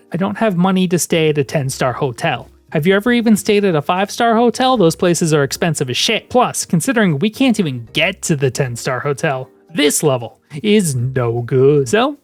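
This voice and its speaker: Deadpan gamer narrator, swears a lot, middle pitch, super dry sound, no room at all. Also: no room sound. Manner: deadpan, sarcastic, swears casually. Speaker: video essay narrator. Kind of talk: scripted comedic commentary over gameplay.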